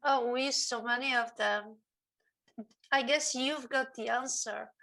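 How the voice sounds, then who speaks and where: Woman, high bright voice, slow, hesitant with fillers, academic discussion, video call. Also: fairly even intonation, creaky. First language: Polish. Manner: hesitant, many "like" and restarts. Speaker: symposium participant.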